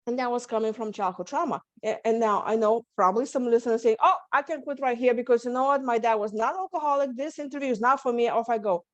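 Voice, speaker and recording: A woman's voice: on the high side, quick pace, few pauses, no echo. Give me a Lithuanian-accented woman as an interview guest, earnest, over muffled call audio. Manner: earnest, many restarts and small laughs. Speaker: guest, stress management coach. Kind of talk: candid interview talk. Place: home setup, video call. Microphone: video call.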